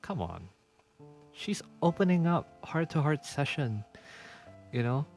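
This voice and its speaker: A man giving casual, sympathetic playthrough commentary, often halting and repeating himself. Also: fairly high pitch, very animated, a little mellow. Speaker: streamer. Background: game music.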